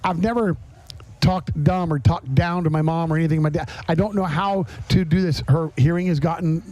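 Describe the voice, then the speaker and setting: High-voiced man hosting a podcast. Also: fairly even intonation, normal speed, darkish tone. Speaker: podcast host. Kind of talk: candid conversational podcast talk.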